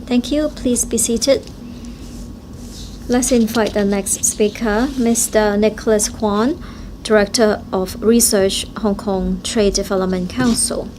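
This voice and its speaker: Woman, live interpreter, unhurried pace, halting phrase-by-phrase delivery. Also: medium pitch, steady tone, darkish tone, slight background noise. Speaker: simultaneous interpreter at a forum.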